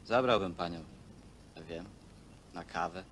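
Lonely young worker, low voice, earnest halting delivery, bitter, film drama, faint soundtrack noise. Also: male voice, fairly bright tone. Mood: wistful, shy. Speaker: film actor. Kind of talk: naturalistic film drama dialogue. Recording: noisy old film soundtrack.

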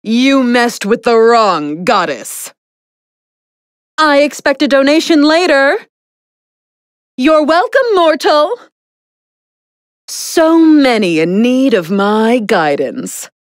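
A vain young goddess from a video game, high-pitched and very slow, savoring her condescension. Her pompous, theatrical delivery swings widely in pitch, imperious yet playful. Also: lively intonation, no room sound, studio quality. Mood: smug. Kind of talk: video game character voice lines.

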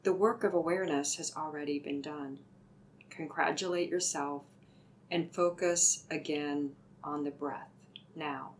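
A woman with a low voice guides a meditation at a very unhurried pace. Her delivery is soothing and deliberate, giving each instruction gently. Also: fairly even intonation, a little hiss or hum, low-quality recording.

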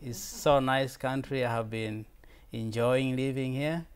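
A man's voice: medium pitch, fairly even intonation, a little slow, smooth. A man in his thirties from Tanzania, with a Tanzanian accent and Swahili as his first language, gives an informal slide presentation in an earnest way, often repeating words and restarting. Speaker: visiting fellow presenter.

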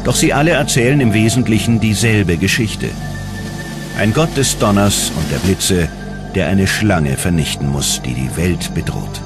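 A man narrating a scripted documentary in a dramatic, measured storytelling manner. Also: sits low, expressive, loud music behind the voice.

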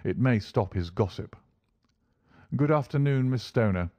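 A man voicing a shrewd, commanding English gentleman detective, brisk, courteous and authoritative, in a storybook reading. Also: medium pitch, lively intonation, no echo, pitched above the performer's own voice, lightly acted. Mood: assured, courteous.

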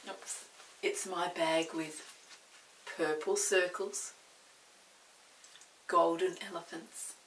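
A woman in her sixties reads a picture book aloud to children, unhurried and gentle, often slipping into a hushed whisper. The sound is roomy and a little distant from the mic. A faint hiss sits underneath. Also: fairly low pitch. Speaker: storytime host reading to children. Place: indoor room with a storybook set.

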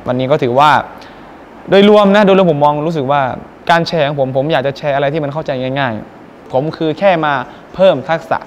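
A man's voice: a little high, a little fast, slight background noise. A young man, a singer mentoring students, speaking politely and earnestly to the camera in a presenter style, first outside a school and then inside a music school. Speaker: guest singer and workshop mentor. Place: outdoors by a school building, then indoors at a music school.

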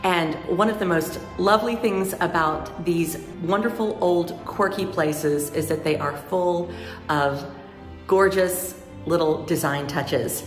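A woman's voice: fairly low pitch, slow pace, roomy sound, big-room sound, faint music behind. A woman hosting a travel vlog, chatting playfully and gushing as she narrates. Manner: playful, laughs often, gushing descriptive narration. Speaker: travel vlogger. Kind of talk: casual travel vlog chat and voice-over.

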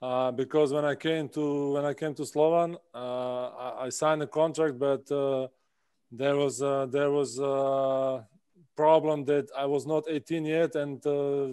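Czech-accented man, smooth dry voice. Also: medium pitch, fairly even intonation, dark tone, no echo. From Czech Republic. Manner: halting, many "uh" pauses and restarts. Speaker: guest basketball player. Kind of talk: casual interview answers. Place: indoor room at home. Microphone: video call laptop mic.

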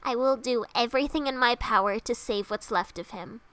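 A woman's voice: a little high, normal speed, fairly bright tone, clean tone, no echo, lightly acted. A woman voicing a small, earnest, boyish skeleton hero in a comic dub, youthful and hesitant, anxious but kind. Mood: solemn, determined.

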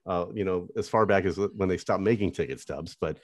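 A man's voice: low voice, no echo. A man in his fifties, a radio host, asking eager questions in a video-call interview. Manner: quick, eager fan questions. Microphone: studio mic on video call.

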